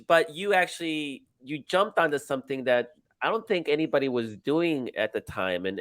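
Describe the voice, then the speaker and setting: A man's voice: mid-range voice, a bit bright, no room sound. A man hosting a political talk show over a video call, speaking eagerly and rambling with fillers. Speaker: podcast host.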